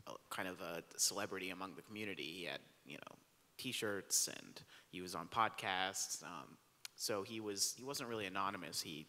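A man's voice: sits low, bright, forceful tone. A man speaking on a panel discussion in an auditorium, hesitant, with many fillers and restarts, into a handheld mic. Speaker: panelist, journalist. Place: large auditorium stage. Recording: long hall reverb.

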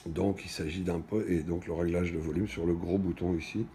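A middle-aged man giving an unscripted hands-on product demonstration, speaking in halting, stop-and-go phrases with trailing pauses. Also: low voice, fairly even intonation, mellow, a little hiss or hum. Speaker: product presenter. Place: indoors at a wooden table in a small shop or office.

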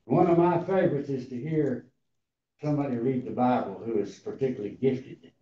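Elderly male preacher in his 80s, raspy and dark-toned, heard from afar in a roomy church hall. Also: medium pitch. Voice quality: raspy, tender voice. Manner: slow, deliberate, halting reading. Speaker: preacher reading scripture. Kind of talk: sermon with scripture reading. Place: large church hall before a seated congregation. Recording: roomy, distant, dull hall sound.

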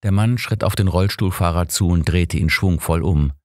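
A man with a low voice reads a scripted detective story. He is a measured, dramatic audiobook narrator, recorded very dry with hardly any room sound. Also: no room sound.

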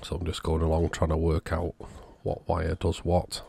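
Casual male repair-video host, very low register, husky with plenty of fry. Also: monotone, moderate pace, a little mellow. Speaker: host of an electronics repair channel. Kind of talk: casual repair walkthrough narration.

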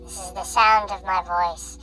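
A man giving a demonstration through a live pitch shifter that resynthesizes his voice with oscillators, making it synthetic. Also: steady tone, unhurried, pushed and bright, faint music behind, poor audio. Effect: live pitch shifter with oscillator-bank resynthesis. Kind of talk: tutorial demonstration.

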